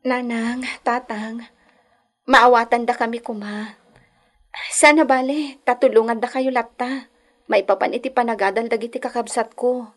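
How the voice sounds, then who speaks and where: An actress with a Filipino Ilocano accent playing a respectful nineteen-year-old daughter in love in a radio drama. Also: female voice, fairly high pitch, steady tone, normal speed, long pauses, close to an everyday voice. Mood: earnest, respectful.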